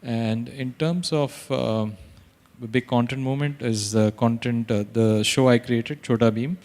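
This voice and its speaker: A man's voice: mid-range voice, fairly even intonation, measured pace, a little mellow. A man from India, a company founder, speaking on a conference panel with many hesitations and restarts. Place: conference hall. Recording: reverberant hall.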